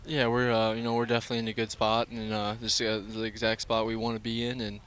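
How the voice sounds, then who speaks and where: Young man, low flat voice, halting. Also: a little hiss or hum. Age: young adult. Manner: halting, many fillers and restarts. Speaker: college baseball player. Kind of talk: postgame interview. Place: outdoor ballpark at night. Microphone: headset mic.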